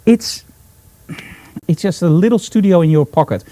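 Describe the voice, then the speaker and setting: A man with a Dutch accent speaks as the host of a live broadcast. His voice sits a little high with a mellow, dark tone, recorded dry and close. He explains things informally, with enthusiasm and emphasis. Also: moderate pace, no echo. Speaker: host, photographer.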